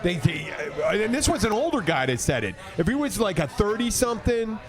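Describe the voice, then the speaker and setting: Higher-voiced man, rambling podcast host. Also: sing-song, a little fast, crowd noise. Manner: rambling, teasing, argumentative. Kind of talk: casual banter.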